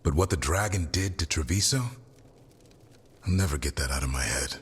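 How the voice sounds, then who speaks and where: An actor playing a gruff, wary veteran warden in a video game, with a rough, husky voice. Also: male voice, a bass voice, normal speed, big-room sound, heavily acted. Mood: wary, grim.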